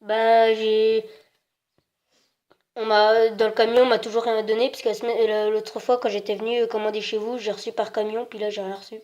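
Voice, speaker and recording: A woman's voice: fairly high pitch, little pitch movement, moderate pace, a little mellow. A woman making a prank phone call as a customer, hesitant and meekly insistent in her manner. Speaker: prank caller posing as a customer. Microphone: telephone. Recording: dull, band-limited phone line.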